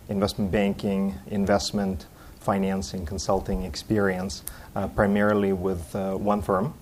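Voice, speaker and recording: Very deep flat-voiced businessman. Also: slight background noise. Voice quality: flat and businesslike, many "uh" pauses. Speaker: panelist, private equity investor. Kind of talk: panel discussion introduction. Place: conference hall.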